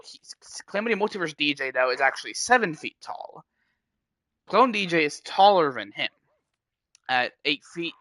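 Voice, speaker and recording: A man with a fairly high, bright and forceful voice talks casually to his stream chat. He rambles, restarts and corrects himself as he goes. The sound is dry and close. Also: dry recording.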